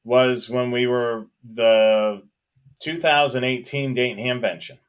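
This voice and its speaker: A man with a mid-pitched voice co-hosts a hobby live stream. He chats casually and ramblingly, hesitating and circling back over his phrases. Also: fairly even intonation, takes it slowly, clear, resonant tone. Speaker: co-host of a live hobby show about police scanners.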